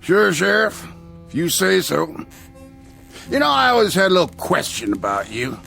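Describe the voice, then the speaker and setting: An actor playing a loud, taunting, cruel peddler in an old-time radio Western, with a sing-song salesman's patter. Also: sits high, huge pitch swings, moderate pace, gravelly, faint music behind, exaggerated voice. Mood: sly.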